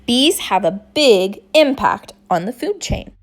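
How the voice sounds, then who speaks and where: A woman reading a children's book aloud in an expressive, scripted narrating style. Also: mid-range voice, unhurried, faint music behind. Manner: expressive, acts out dialogue. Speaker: storybook reader.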